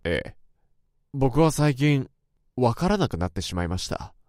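A man's voice: mid-range voice, very animated, measured pace, a little mellow, dry recording, studio quality. A man playing a troubled office worker who narrates his own story in the first person, in a brooding and anxious way. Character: troubled young male office worker, introspective. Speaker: narrator and lead.

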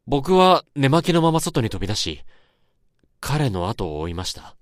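A man's voice: mid-range voice, sing-song, moderate pace, no room sound, studio quality, close to an everyday voice. A man acting as the haunted narrator of a radio horror drama, recounting an eerie dream in a dramatic monologue. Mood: uneasy, urgent. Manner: dramatic, swelling from hushed to intense. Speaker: narrator and main character. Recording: storm and rain noise behind.

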